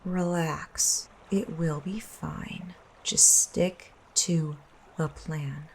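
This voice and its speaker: A woman playing a cold, scheming, murderous mistress in an audio drama, calm, commanding and coolly persuasive. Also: sits low, drawn-out words, vocal fry, a little hiss or hum, exaggerated voice, poor audio. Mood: calm, instructing.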